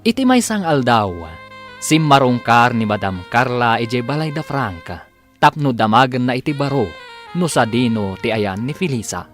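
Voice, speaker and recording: A man's voice: medium pitch, lively intonation, moderate pace, soft background music. A man narrating a scripted radio drama as the show's storyteller.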